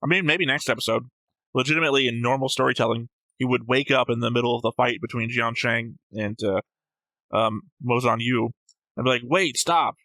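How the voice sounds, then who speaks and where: A man with a bright, forceful voice in a casual debate about a show. He argues his points analytically and swears casually. The sound is very dry. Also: medium pitch, brisk, no room sound, low-quality recording. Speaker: host of an anime reaction show.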